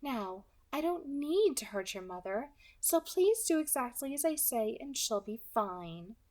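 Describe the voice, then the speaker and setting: A woman voicing a polite, menacing female kidnapper with a soft, pleasant alto voice, light and friendly in tone. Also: high voice, sing-song, a little slow, voice pushed up, exaggerated voice. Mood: coolly menacing. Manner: light, friendly, quietly threatening.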